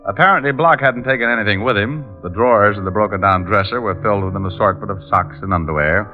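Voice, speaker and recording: An actor playing a sardonic private detective in a vintage radio drama, with an American accent and glib, dry wit. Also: male voice, fairly low pitch, quick pace, lightly acted. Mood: wry narration. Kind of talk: 1950s radio drama acting and noir narration. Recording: muffled vintage radio recording, music.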